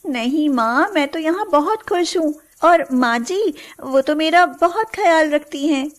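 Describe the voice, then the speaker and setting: A woman's voice: sits high, lively intonation, a little slow. A woman playing a meek, long-suffering young daughter-in-law, plaintive, in a melodramatic cartoon moral tale. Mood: earnest, placating. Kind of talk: melodramatic moral story voice acting.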